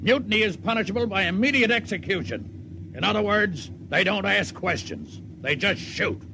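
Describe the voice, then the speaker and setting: A menacing starship captain, smug and gloating. His voice is high and very animated. It comes from a 1950s radio drama with clear background noise. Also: normal speed. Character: gloating, ruthless male spaceship captain.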